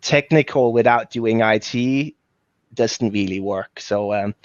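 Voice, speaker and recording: A man's voice: mid-range voice, slow, no room sound. A middle-aged Danish man with a Danish accent, telling his work history in a loose, rambling way with many fillers over a video call. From Denmark. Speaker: guest, talent sourcer. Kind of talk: casual video chat. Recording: dull lo-fi web call audio.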